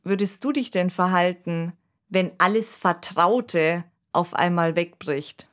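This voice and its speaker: A woman's voice: lowish voice, slow. A woman co-hosting an analytical conversation, explaining thoughtfully and checking in with short tag questions. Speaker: podcast co-host.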